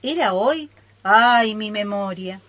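A muddled old lady customer in comic radio theatre, played by a woman. Her medium-pitched voice moves up and down a lot as she fusses and loses track. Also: lively intonation, slow pace, fairly bright tone. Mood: fussy, muddled.

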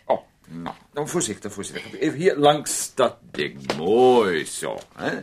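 An actor playing a fussy, controlling brother in a radio play, dryly condescending and halting, with little interjections. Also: male voice, lowish voice, very animated, slight background noise. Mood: flustered, fussy. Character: fussy, sardonic, controlling brother of a grown man.

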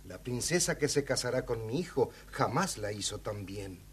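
An actor playing a kindly, patient king with a husky voice in a children's audio storybook. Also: mid-range voice, expressive, normal speed, slight background noise, natural voice. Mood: kind, coaxing. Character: kindly, theatrical old-fashioned king, patient and curious.

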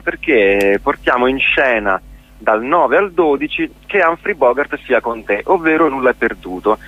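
A man speaking as an actor and guest in a radio interview, explaining in a halting way and often searching for words. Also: medium pitch, narrow, tinny audio. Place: radio programme.

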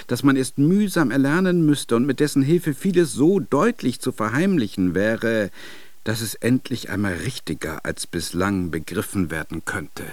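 An actor playing a reflective, solemn literary narrator in a radio drama, with deliberate and ornate phrasing. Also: male voice, mid-range voice, no room sound, close to an everyday voice.

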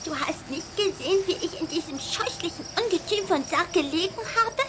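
An actress playing a bossy but affectionate little vampire girl in a children's audio play, dramatic and quick to scold. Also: very high voice, lively intonation, background noise. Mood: indignant, reproachful.

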